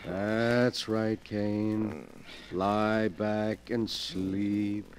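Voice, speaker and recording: An actor playing a coolly manipulative master of the house in a 1940s radio drama, condescending and smooth-talking. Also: male voice, on the low side, flat delivery, drawn-out words, slight background noise. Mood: soothing, controlling.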